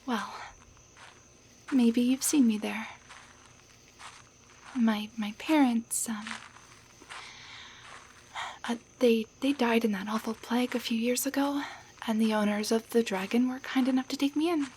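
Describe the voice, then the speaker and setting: A woman playing a shy, grateful young waitress turned into a tiny doll in an intimate roleplay audio drama, speaking softly and haltingly, often near a whisper. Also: fairly high pitch, slight background noise, close to an everyday voice, rough recording. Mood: wistful. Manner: hesitant, halting, stammering.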